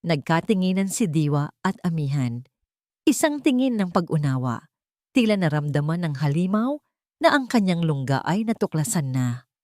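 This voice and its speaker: Woman storyteller for dramatic scripted narration, lowish pitch, dry close recording. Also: very animated, normal speed, darkish tone, no room sound.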